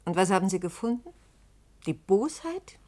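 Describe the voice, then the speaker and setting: An actress in a science-fiction radio play playing a skeptical, sharp-tongued radio journalist with a brisk, sardonic, challenging manner. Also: female voice, medium pitch, very animated, natural voice. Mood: skeptical, probing.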